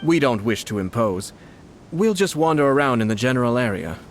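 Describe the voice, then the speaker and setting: An actor playing a courteous noble commissioner. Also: male voice, mid-range voice, animated, slight background noise, close to an everyday voice. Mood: calm. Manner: polite, formal, measured. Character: young refined male noble commissioner, courteous. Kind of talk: game voice acting.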